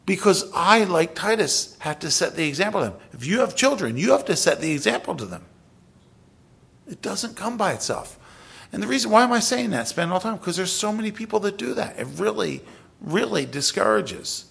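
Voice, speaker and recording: A middle-aged male pastor with a gravelly, husky voice pitched a little high. He preaches in a loose, conversational way, restarting his sentences, then pushing up to emphatic peaks before dropping almost to a whisper. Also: very animated, a little fast, a bit bright. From South Philadelphia. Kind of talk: sermon.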